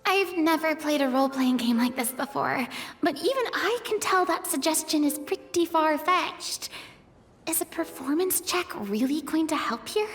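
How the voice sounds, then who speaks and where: High-pitched theatrical young game heroine. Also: female voice, moderate pace, fairly bright tone. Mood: doubtful. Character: theatrical, earnest young woman playing a tabletop role-playing game. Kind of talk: English-dubbed anime game acting. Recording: music behind the voice.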